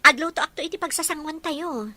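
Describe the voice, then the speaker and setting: Young Ilocano mother played by a woman, family drama; soft-hearted and earnest, bright tone, background noise. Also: sits high, a little fast. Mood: hopeful, planning. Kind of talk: dramatized family audio story.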